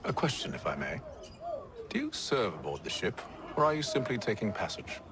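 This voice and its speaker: A man playing a poised, courteous English gentleman in a video game, with an English accent and suave, formal manner. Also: lowish voice, big pitch swings, normal speed. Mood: polite. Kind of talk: video game cutscene acting.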